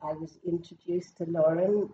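A woman's voice: lowish voice, steady tone, distant mic, dull audio, slight background noise. Reflective woman in her sixties, set back from the mic. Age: 60s. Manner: measured and reflective. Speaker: actor in the cast. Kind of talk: casual group interview. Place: rehearsal room.

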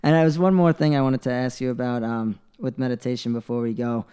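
A man's voice: medium pitch, steady tone, fast talker, warm tone, clear, resonant tone. An American man hosting a casual, conversational interview. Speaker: interviewer, podcast host.

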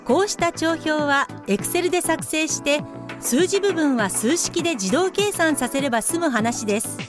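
A woman's voice: sits high, animated, moderate pace, music in the background. A woman playing a formal, instructive narrator, delivering scripted explanations in a radio drama. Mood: formal, explanatory. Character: crisp female case-file narrator explaining office software.

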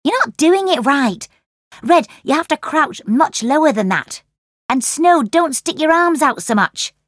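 Lady doing a bossy little fairytale girl, high pitched, confident, dry audiobook sound. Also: animated, measured pace, no echo. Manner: emphatic, challenging, confident. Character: Goldie, bold, bossy young fairy-tale girl ninja.

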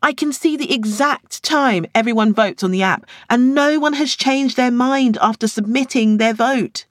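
Woman acting a firm, high-pitched club chairwoman. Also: moderate pace, no echo, clean studio sound, close to an everyday voice. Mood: exasperated. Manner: orderly, insistent, explanatory.

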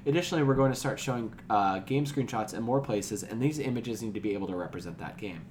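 A man's voice: fairly low pitch, quick pace, a little mellow, a little hiss or hum. A man co-hosting a gaming podcast in an informal way, moving between casual chat and reading news. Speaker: podcast co-host.

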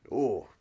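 A Welsh man trading absurd jokes and deadpan boasts in comic banter. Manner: playful, deadpan boasting, silly wordplay.

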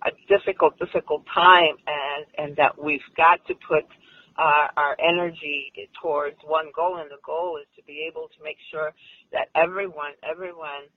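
A woman's voice: low voice, steady tone, normal speed, no room sound. A woman leading an advocacy group, speaking emphatically in a phone interview, repeating and restarting words. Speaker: executive director of an advocacy group. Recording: tinny phone line, dry.

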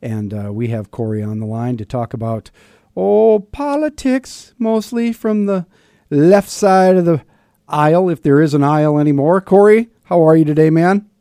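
A man hosting a casual political interview show in a chummy, wry and halting way. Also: fairly high pitch, lively intonation, dark tone, no room sound, studio quality. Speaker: radio host interviewing a guest.

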